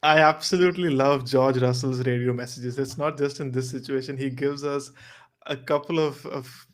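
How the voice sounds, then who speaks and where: A man offers casual analysis as a podcast panelist. His voice sits at a medium pitch, and he reasons earnestly, sometimes repeating a phrase as he works through a point. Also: steady tone, normal speed.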